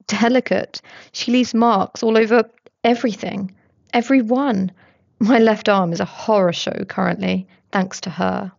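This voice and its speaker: An irritable young man with a flat, biting monotone, snapping out his words, as a woman reader puts him on. The voice is a little gravelly, recorded dry and slightly dull. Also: medium pitch, unhurried, dry recording. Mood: dry, irritated.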